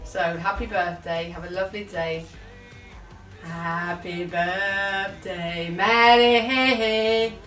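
A woman recording a slow, affectionate birthday message on a phone at home. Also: medium pitch, fairly even intonation, a bit bright, soft background music. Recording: roomy, distant phone audio.

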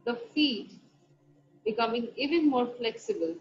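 A woman guiding a meditation, giving soft, slow instructions broken by long pauses. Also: on the high side, creaky, slight background noise. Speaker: meditation guide. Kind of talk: guided meditation. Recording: dull lo-fi recording with faint music. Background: soft background music.

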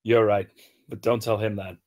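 A man's voice: lowish voice, level tone, moderate pace, a little mellow, close to an everyday voice. A man reading the part of a stubborn, defensive small-time crook in a screenplay table read. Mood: wry.

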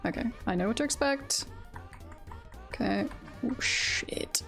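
Giggly woman streamer with slightly high, creaky voice. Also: animated, slow pace, a bit bright, faint music behind. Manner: giggly, playful, reacts aloud to the game. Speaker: streamer playing a video game. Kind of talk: casual livestream chat and game commentary.

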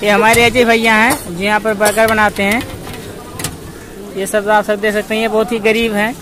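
A man's voice: sits high, level tone, moderate pace. A young man walking through a village market with his phone, chatting to viewers and haggling with sellers. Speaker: village vlogger. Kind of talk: walking market vlog narration. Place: busy outdoor village market. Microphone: handheld phone camera. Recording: crowd noise of outdoor market.

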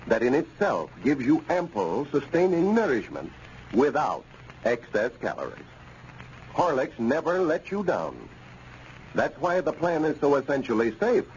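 A male announcer from old-time radio with a mid-pitched, extremely animated delivery. He is formal and persuasive, pitching the sponsor. The recording sounds muffled. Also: a little hiss or hum.

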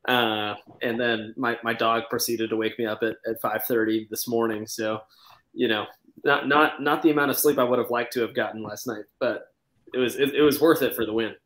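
A man's voice: mid-range voice, fairly even intonation, moderate pace, fairly bright tone. Casual man in an echoey room. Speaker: podcast panelist. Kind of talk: casual sports chat. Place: indoor room at home. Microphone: video call. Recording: echoey room on video call.

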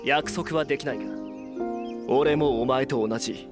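Man acting as calm young fantasy hero, terse, steadfast, audio drama, background music. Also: normal speed, lightly acted. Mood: gently resolute. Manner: terse, composed, low-key. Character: calm, resolute young male adventurer.

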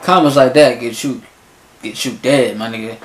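A young man in his twenties gives animated, slangy commentary as he reacts to a rap video from his bedroom. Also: mid-range voice, normal speed. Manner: animated, slangy, talks back to the song. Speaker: music reaction youtuber. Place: small bedroom in front of a closet door. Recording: echoey room.

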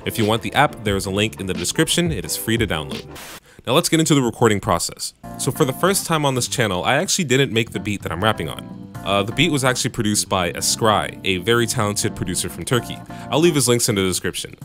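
A man with a bassy voice casually narrating a home recording tutorial, with joking asides, on a studio mic in his home room. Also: expressive, quick pace, music in the background. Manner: laid-back, joking asides. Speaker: music producer and YouTube tutorial host. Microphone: studio microphone through audio interface.

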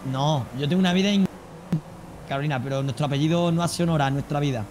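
A man's voice: on the high side, quick pace, darkish tone, a little hiss or hum. A man chatting in character during a game roleplay, rowdy and joking. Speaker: roleplay player.

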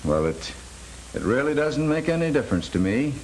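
Man playing lively-pitched scheming American broker. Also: normal speed, lightly acted, low-quality recording. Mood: suavely genial. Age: middle-aged. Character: smooth, scheming middle-aged crooked investment broker. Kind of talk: 1930s film acting. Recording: hissy 1930s film soundtrack.

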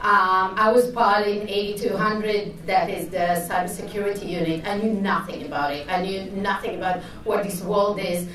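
A woman with an Israeli accent speaks candidly on a conference panel, pausing often with fillers. She holds a handheld mic but is picked up from a distance. The room is echoey. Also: medium pitch, steady tone, a bit bright. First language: Hebrew. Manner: candid, chatty, many hesitations. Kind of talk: panel talk. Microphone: handheld mic, heard from afar.

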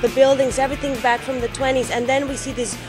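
A woman giving promotional interview talk as a restaurant representative, halting and rephrasing as she goes. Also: high-pitched, fairly even intonation. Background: music.

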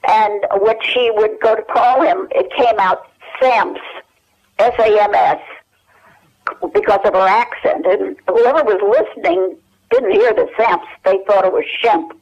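A muffled phone line carries an older woman's voice. She is a guest in an interview, reminiscing in a conversational, halting style. Her voice sits at an average pitch for a woman. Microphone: telephone. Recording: dull telephone line.

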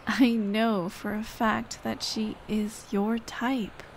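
Girl doing a soft gentle teasing dead girlfriend, asmr roleplay, slow talking, ambient noise behind. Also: on the high side, flat delivery, low-quality recording. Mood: wistful, gentle. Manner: gentle, teasing, coaxing, sighing. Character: tender, playful dead girlfriend comforting her grieving partner. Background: ambient sound effects.

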